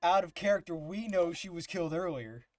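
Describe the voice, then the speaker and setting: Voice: mid-range voice, expressive, a little fast, darkish tone, no room sound. A streamer in casual, joking group banter during an amateur live game dub. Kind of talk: casual group chat while fandubbing a courtroom game live.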